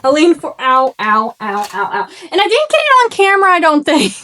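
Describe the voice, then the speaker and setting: A young woman from Arkansas with a Southern accent and a slight lisp, chatting casually in a makeup vlog, self-deprecating and quick to laugh at her own slips, filmed on a phone at home. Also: high voice, wildly swooping pitch, bright, forceful tone. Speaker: makeup vlogger. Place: at home, seated. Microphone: phone camera.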